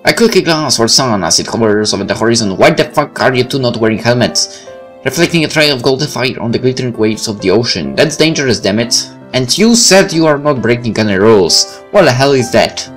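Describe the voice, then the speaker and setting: A male let's player reads visual novel text aloud. His delivery is animated and blunt, with a wide swing in pitch. Faint game music plays underneath. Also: brisk. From Poland. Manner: expressive, blunt, swearing. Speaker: let's play host.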